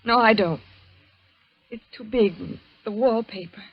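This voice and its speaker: An actress playing an anxious, high-strung young woman in a 1940s radio drama, hesitant and insistent. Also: a little slow, breathy edge. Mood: agitated, pleading. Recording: dull, dry vintage radio recording.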